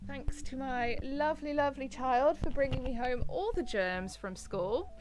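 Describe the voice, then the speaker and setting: A woman's voice: high voice, normal speed, background noise. A British woman in her thirties with a cold and a slightly nasal voice, chatting casually as she reads stories and comments, given to rambling asides. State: has a bad cold, a bit nasal. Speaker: host reading and commenting on online stories. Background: background music.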